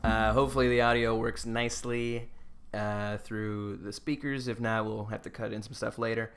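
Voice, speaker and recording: A man's voice: lowish voice, steady tone, moderate pace, fairly bright tone. A young man co-hosting a podcast, chatting informally and asking questions with a teasing manner. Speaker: podcast co-host. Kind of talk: casual chat, interviewing his co-host.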